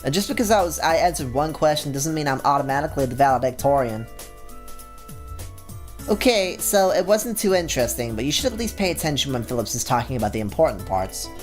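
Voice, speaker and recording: A man voicing a calm, studious teenage schoolgirl with a mildly scolding manner. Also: fairly high pitch, fairly quick, soft background music, close to an everyday voice. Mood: prim.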